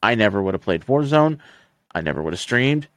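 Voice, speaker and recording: A man's voice: lowish voice, very animated, brisk, dry recording. A tipsy man hosting a podcast, joking and laughing often in casual chat. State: tipsy from drinking. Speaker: podcast host.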